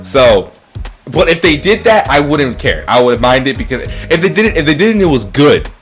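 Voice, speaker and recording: A man's voice: medium pitch, big pitch swings, moderate pace, faint music behind. A man chatting casually and profanely as a podcast co-host, rambling and repeating his phrases. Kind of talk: casual, profane chat about movies.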